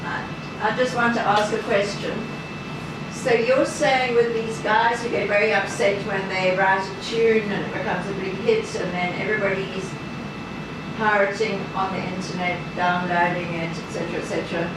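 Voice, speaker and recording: A woman moderates a question session, calling on people and keeping order briskly. Her voice is of middle pitch and comes from far off. Heavy echo and loud noise surround her. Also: fairly even intonation. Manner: firm, brisk. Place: seminar hall. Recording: echoey hall, distant mic, loud noise.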